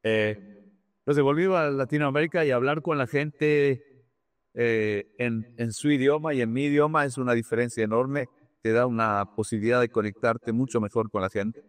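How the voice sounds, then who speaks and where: A man from Argentina, answering interview questions conversationally as a business executive, haltingly, repeating words and dropping in English terms. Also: mid-range voice.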